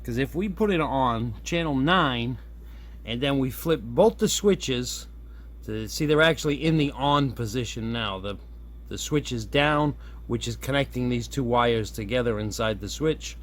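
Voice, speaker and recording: A man's voice: medium pitch, a little slow. A man giving a casual hands-on demo, thinking aloud in an easygoing way as he presents his hobby project. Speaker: hobbyist presenter showing a radio modification. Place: indoors at a workbench. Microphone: camera on a tripod nearby. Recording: steady background noise, room ambience.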